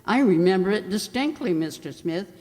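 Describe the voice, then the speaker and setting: A man's voice: high-pitched, expressive, normal speed, a little mellow. A man playing a polite, matter-of-fact bank teller in a radio play. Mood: polite, prim. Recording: echoey hall, long reverb.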